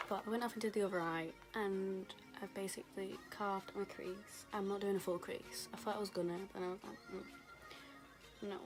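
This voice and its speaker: A young woman, rambling casually through a makeup tutorial, her voice going hoarse. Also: medium pitch, fairly even intonation, moderate pace, poor audio. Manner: chatty, rambling, lots of fillers. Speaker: beauty vlogger. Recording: music playing behind.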